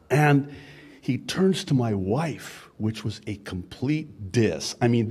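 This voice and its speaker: A pastor in his fifties, a native Arabic speaker, preaching a sermon in a relaxed, conversational way with chuckling asides and frequent fillers. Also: a little high.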